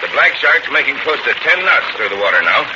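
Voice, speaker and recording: An actor playing a calm, commanding American uncle and skipper, assured and instructive, in a 1940s radio serial. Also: male voice, medium pitch, brisk, lightly acted. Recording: muffled, noisy vintage radio recording.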